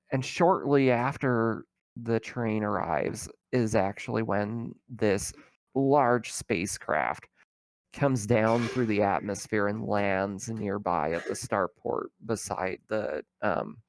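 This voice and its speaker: A man acting as game master, improvising narration for a tabletop roleplaying game in a halting, conversational way. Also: on the low side, creaky, no echo.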